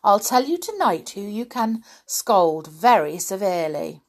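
A woman's voice: medium pitch, very animated, a little slow, pitched above the performer's own voice. A woman voicing a sly, bossy girl doll in a children's story, gushing and mock-polite. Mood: gushing pretence.

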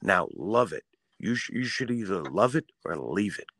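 A man with a very deep voice who swings widely up and down as he rants. He talks in a fired-up, swearing style on a casual podcast. The sound is dry and close to the mic. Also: very animated, moderate pace, fairly bright tone, no room sound. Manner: fired-up, ranting, profane. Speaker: podcast co-host.

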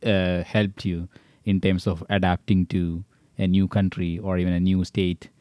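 Deep-voiced, rambling interviewer. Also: male voice, level tone, unhurried, dry recording, highs cut off. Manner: encouraging, rambling questions with many restarts. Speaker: podcast host. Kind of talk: interviewing a guest.

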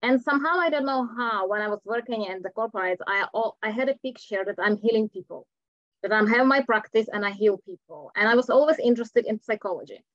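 A woman's voice: on the high side, quick pace, no echo. A middle-aged woman with a Czech accent, a coach talking earnestly as a podcast guest and sometimes searching for words. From Czech Republic. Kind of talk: podcast interview. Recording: dull lo-fi audio.

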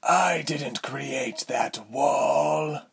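Guy doing a slow creepy fantasy overlord, gravelly, condescending, kinda breathy. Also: mid-range voice, pitched above the performer's own voice, full character voice. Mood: coolly scornful. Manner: measured, silky, haughty. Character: smooth, condescending male villain lord with grand designs.

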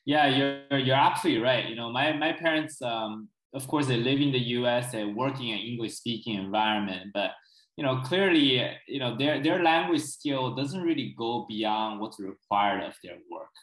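A man's voice: medium pitch, normal speed, fairly bright tone. A young man speaks as an interview guest in a casual, conversational way. He explains at length and keeps checking that the listener is following. His voice carries a noticeable room echo. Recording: roomy echo.

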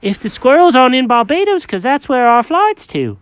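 Storyteller plays a high, excitable sounding cat, naive and fussy, very sing-song, close dry recording. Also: brisk, no echo. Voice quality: high and squeaky. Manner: excitable, bewildered.

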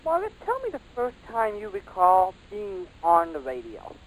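A man's voice: sits high, huge pitch swings, normal speed, poor audio. A man casually putting questions to a guest as a radio interviewer.

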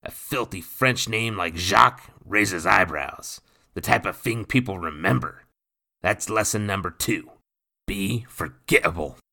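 A man voicing a roguish, cocky male thief who talks in a gravelly, swaggering, ungrammatical like dialect. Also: deep voice, a little slow, pushed and bright, full character voice.

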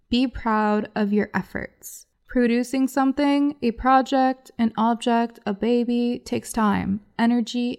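A woman's voice: on the high side, darkish tone. A woman podcast host, a little congested, doing a solo card reading in an upbeat, encouraging way. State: congested from a cold.

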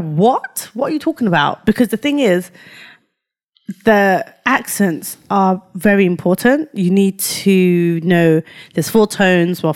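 A woman co-hosting a podcast in casual banter, using slang like a habit and explaining things with examples and asides. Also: on the low side. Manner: slangy, says fam and yeah often. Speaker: podcast co-host.

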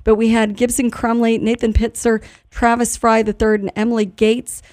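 A woman's voice: a little high, little pitch movement, moderate pace, darkish tone, dry recording, clean studio sound. A woman hosting a radio show, interviewing in a conversational, effusive and complimentary way.

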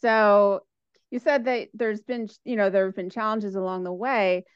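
A young woman with a smooth, clear voice asks eager questions, stumbling and restarting as she goes. The audio is dry and a little dull, like a lo-fi recording. She sounds keen and admiring. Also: mid-range voice, steady tone, dry recording. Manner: eager, halting with many fillers and restarts. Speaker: interviewer, doctoral student. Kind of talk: casual interview.

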